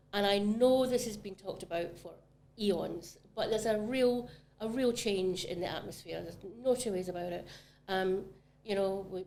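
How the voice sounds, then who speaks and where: A woman's voice: medium pitch, a little mellow. A Scottish woman talks in an easy, enthusiastic way, with lots of ums as she thinks aloud. Her voice sits in a mid range. There is a faint noise floor behind her. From Scotland. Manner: chatty, enthusiastic, many ums. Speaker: commercial property manager. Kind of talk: casual podcast conversation.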